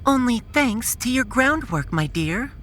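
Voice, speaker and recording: A woman's voice: a little high, sing-song, measured pace, fairly bright tone, slight background noise. A woman playing a modest, devoted scientist wife in a science fiction audio drama, gracious and earnest. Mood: modest, affectionate.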